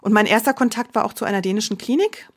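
A woman from Berlin in her forties shares her story as an interview guest, thoughtful and candid. She circles back and restarts sentences as she gathers her thoughts, in a voice of ordinary middle pitch. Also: quick pace. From Germany, Berlin. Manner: reflective, candid, with frequent restarts. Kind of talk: personal interview.

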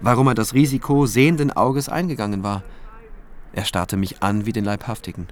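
A man's voice: on the low side, fairly even intonation, a little fast, lightly acted. An actor playing a state prosecutor who narrates his case in a reflective, dry, observant first-person style for a radio drama. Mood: dry, sceptical. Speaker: narrator and lead character.

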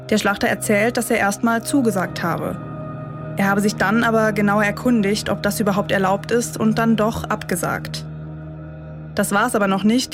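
Female true-crime podcast host, mid-range pitch, measured scripted storytelling, clear music bed underneath.

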